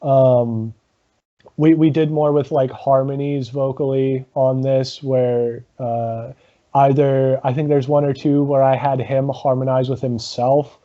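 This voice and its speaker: A man, a guest in a casual interview, talking about his comedy rock band with a low voice, lots of ums and laughs. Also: flat delivery, dull audio. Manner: halting, many ums, laughs often.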